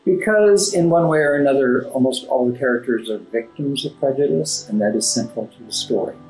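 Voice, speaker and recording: Roomy-sounding man, articulate and halting. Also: medium pitch, a little mellow. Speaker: guest playwright. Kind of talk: conversational interview answers. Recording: roomy echo, music underneath. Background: classical background music.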